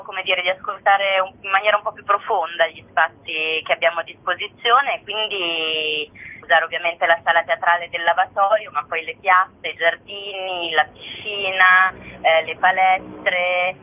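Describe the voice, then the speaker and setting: A woman speaks over a narrow, tinny phone connection. She answers as an interview guest and festival director, feeling her way through sentences with hesitant searches for the right word. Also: medium pitch.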